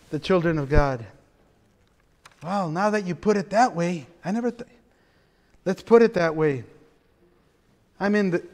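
A middle-aged pastor, preaching an animated sermon from a church pulpit with playful asides. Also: male voice, high-pitched. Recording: long reverb of a church hall.

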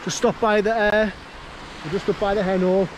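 A man with a Geordie accent and a notably high voice talks casually to camera on a hill walk. He is outdoors, with clear wind noise buffeting the microphone. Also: fairly even intonation, brisk. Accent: Geordie, North East English. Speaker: vlogger on a camping trip. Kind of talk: casual outdoor vlog chat. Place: outdoors on windy open hills.

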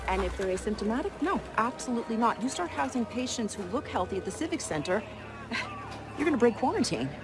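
An actress playing a firm, alarmed medic in a video game cutscene, insistent and urgent. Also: female voice, on the high side, big pitch swings, a little fast. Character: firm, alarmed woman medic managing a quarantine. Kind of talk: cinematic video game dialogue. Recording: loud music under the voice.

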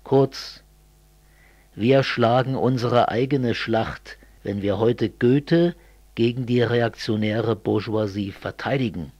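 A middle-aged man from East Germany speaking in a scripted political dialogue, didactic and deliberate. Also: mid-range voice, a little mellow, no room sound.

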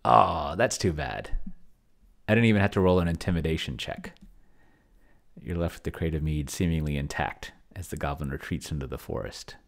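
Middle-aged male streamer, low voice, casual rambling commentary over a solo role-playing session. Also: normal speed, creaky. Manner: rambling, thinking aloud. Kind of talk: casual livestream commentary while typing. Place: small cluttered home room, indoors.

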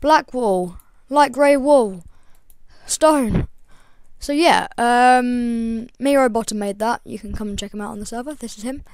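A young woman with a slightly croaky voice, hosting a casual game tutorial voice-over in a chatty, rambling way with lots of ums. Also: a little high, a little slow, a little mellow, no room sound. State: croaky, voice breaking. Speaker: gaming channel host.

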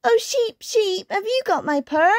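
A woman's voice: very high voice, expressive, measured pace, no echo, studio quality, voice pushed up, heavily acted. A woman voicing a tearful, anxious little kitten from a storybook, with a plaintive, wailing manner.

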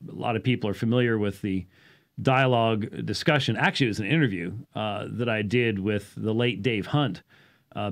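A man gives an off-the-cuff explanatory talk as a show host, halting at times and leaning on key words. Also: fairly low pitch. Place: small indoor study with bookshelves. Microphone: studio microphone on boom arm, close.

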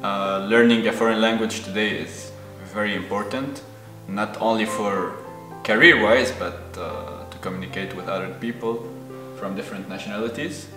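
A man's voice: on the low side, steady tone, normal speed, roomy sound, music in the background. A man in his thirties from Lebanon, a native Arabic speaker, giving thoughtful interview answers with many hesitations. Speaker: guest. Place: indoor room on a sofa.

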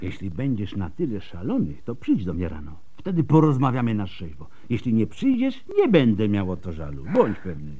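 Husky, wry tipsy doctor. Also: male voice, fairly low pitch, huge pitch swings, normal speed, hardly any pauses, dark tone, dull audio. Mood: cautioning. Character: tipsy, wry, animated male physician. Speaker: actor in a radio play. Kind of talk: radio drama acting.

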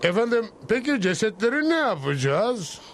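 A man's voice: high-pitched, big pitch swings, normal speed, over music. A man playing an ageing, officious prison guard in a dubbed cartoon. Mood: deferential. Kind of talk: Turkish-dubbed cartoon acting.

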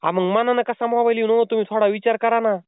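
A man's voice: extremely high pitch, fairly even intonation, fast, clear, resonant tone, no echo. A man making a prank phone call, posing as a delivery man, insistent and pleading. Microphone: phone line. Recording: tinny phone call recording.

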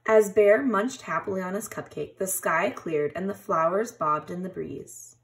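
A woman reading a children's picture book aloud in an expressive, animated storytelling manner, like a teacher. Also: on the low side, measured pace.

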